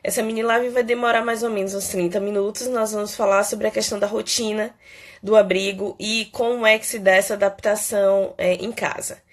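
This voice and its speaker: A woman from Salvador, a psychologist hosting a casual live Q&A, hoarse and stuffy from a cold, chatty and peppering her talk with "né". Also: mid-range voice, steady tone. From Salvador, Bahia, Brazil. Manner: chatty, fills pauses with "né" and "é". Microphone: phone.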